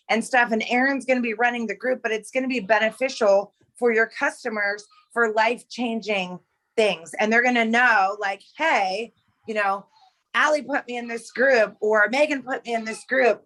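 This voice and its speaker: An energetic woman leads her team through a casual pep talk, pressing each point with emphasis. Her voice sits fairly high and sounds bright and forceful. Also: poor audio. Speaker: team leader, host.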